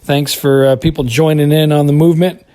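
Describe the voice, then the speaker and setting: A man's voice: fairly high pitch, steady tone, a little fast, dark tone. An American man giving casual monologue commentary, rambling and hesitant as he thinks aloud. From United States. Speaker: podcast contributor reviewing a TV episode.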